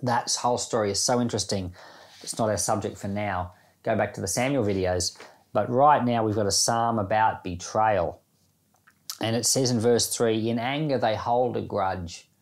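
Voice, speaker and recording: A man's voice: lowish voice, normal speed. A man hosting a church Bible podcast, reading scripture and giving informal devotional commentary.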